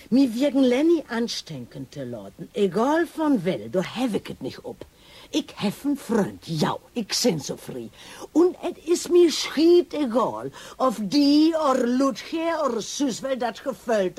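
A husky, fairly high female voice plays a headstrong police inspector in a radio drama. Her delivery is emotional and defiant, with lively pitch movement. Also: a little slow, full character voice. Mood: defiant, irritated. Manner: defiant, emotional, natural dialogue.

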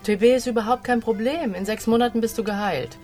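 An actress playing an off-duty nurse in a radio drama, talking in a casual, blunt and teasing way. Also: female voice, on the high side, fast, darkish tone, faint music behind, natural voice. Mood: breezy, blunt. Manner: casual, matter-of-fact, teasing.

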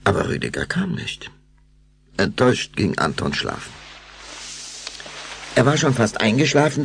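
A deep-voiced man narrates a children's audio drama. His gravelly voice moves expressively through the story. Faint noise lies behind him. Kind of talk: scripted narration of a children's radio play.

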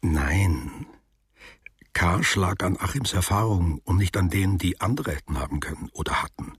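An actor playing a reflective narrator who tells a literary radio drama in a measured, precise, deliberate way. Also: male voice, low-pitched, expressive, slightly rough, dry recording, studio quality, full character voice. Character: reflective male author-narrator recounting a boy's postwar memories.

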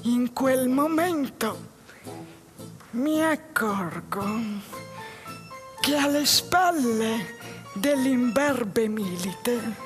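A high, slow voice a lonely, lost man in a stage monologue. The phrases come haltingly, dreamy and melancholy, with music behind. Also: expressive. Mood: excited, indignant. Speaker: stage actress. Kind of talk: theatrical monologue.

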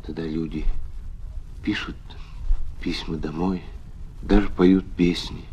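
A man's voice: low voice, fairly even intonation, slow pace, highs cut off. A man delivers solemn, dramatic scripted documentary narration for children, with emphatic rhetorical phrasing.

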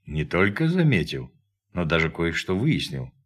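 An observant crewman with a deep voice. He reports to his superior soberly and precisely, in radio-drama style. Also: wildly swooping pitch, normal speed, fairly bright tone, breathy edge, exaggerated voice. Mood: serious, puzzled. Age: 40s. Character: sober, observant male spaceship crewman in forties. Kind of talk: Russian radio play acting.

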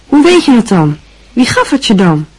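A woman's voice: on the high side, very animated, moderate pace, breathy edge, low-quality recording. A woman acting a pushy, disbelieving teenage boy in a radio play, insistent and probing.

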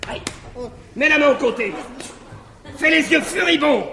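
An actor playing a brash, scheming valet in a classical French stage farce, commanding and swaggering. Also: male voice, very high voice, expressive, a little slow, creaky, full character voice. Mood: bossy. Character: brash, cocky scheming valet bossing his accomplice. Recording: echoey noisy 1961 theatre recording, audience.

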